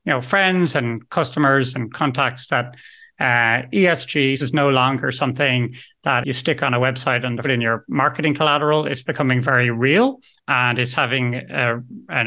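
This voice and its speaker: A man hosting a business podcast, his voice bright and forward at a middling pitch. He introduces his guest in a friendly, upbeat way and throws in loose asides as he goes. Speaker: podcast host.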